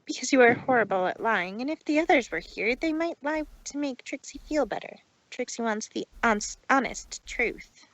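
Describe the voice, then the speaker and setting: A woman's voice: on the high side, lively intonation, close to an everyday voice, rough recording. A woman voicing a proud but uneasy mare who speaks formally and in the third person, in a fan fiction reading. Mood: uneasy, serious. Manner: formal, halting, refers to herself by name.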